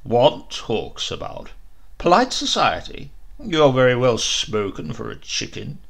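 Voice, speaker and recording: A man voicing a gruff medieval knight who mocks and sneers. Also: mid-range voice, wildly swooping pitch, a little slow, a bit bright, pitched below the performer's own voice, exaggerated voice. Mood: mocking. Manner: mocking, sneering.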